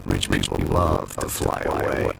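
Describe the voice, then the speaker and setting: An actor playing a hard-bitten middle-aged ex-cop, narrating in a dry, sardonic noir voice-over. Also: male voice, lively intonation, measured pace, very noisy, full character voice. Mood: weary. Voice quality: very deep gravelly voice. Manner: dry, sardonic, world-weary. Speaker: narrator and lead character. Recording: game cutscene with loud music.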